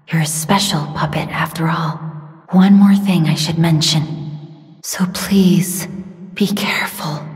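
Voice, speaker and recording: An actress playing a gentle, mysterious and sorrowful young woman in a video game, speaking softly and earnestly with a breathy, measured delivery. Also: on the low side. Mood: gentle urgency, then grave, hushed. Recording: long reverb, faint music behind.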